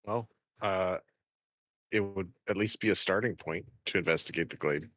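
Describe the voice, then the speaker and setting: A man's voice: low-pitched, moderate pace, fairly bright tone, no echo, highs cut off. A man chatting casually as a player during a tabletop role-playing game.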